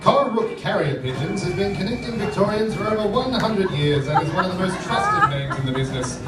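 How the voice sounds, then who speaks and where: Parody radio-play announcer, male actor: melodramatic narrator, higher pitch, heard at a distance in a theatre. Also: sing-song, brisk, over a noisy crowd. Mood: salesy. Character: melodramatic old-time radio serial announcer. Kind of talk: parody radio serial narration and advert read. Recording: echoey theatre, distant mic, loud music.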